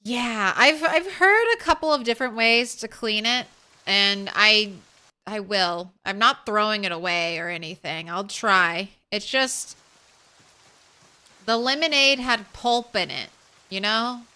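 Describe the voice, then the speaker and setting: A woman streamer chatting softly and casually with her viewers while playing a game, thanking them as she goes. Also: mid-range voice, measured pace, clear, resonant tone. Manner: soft, relaxed, thanks viewers. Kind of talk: casual live-stream chat while gaming.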